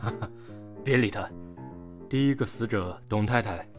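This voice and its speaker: A man's voice: fairly low pitch, unhurried, music in the background, lightly acted. An actor playing an easygoing, placating male investigator who explains things patiently in an audio drama. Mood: explaining.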